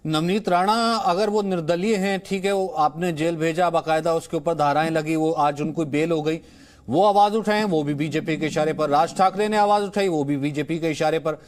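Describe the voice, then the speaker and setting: Male TV anchor, slightly higher voice, pushy moderator. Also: normal speed. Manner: pressing, interjecting questions. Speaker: news anchor moderating a debate. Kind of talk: televised debate moderation.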